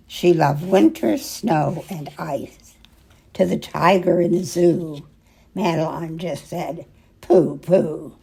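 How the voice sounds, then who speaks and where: Older female storyteller, very creaky, mellow tone, expressive children's read-aloud with dramatic pauses. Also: lowish voice. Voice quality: husky, gravelly. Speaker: storyteller reading a picture book aloud.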